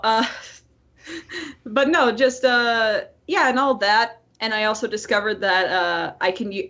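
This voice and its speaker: A woman co-hosting a casual podcast, talking in an animated, playful way and swearing freely. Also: medium pitch. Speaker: podcast co-host. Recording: dull lo-fi voice-call audio.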